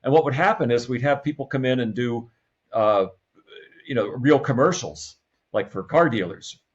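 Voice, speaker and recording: An American man in a casual interview, reminiscing as a guest in a halting way with repeated words. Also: mid-range voice, dull audio. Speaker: podcast guest, radio comedy writer and producer.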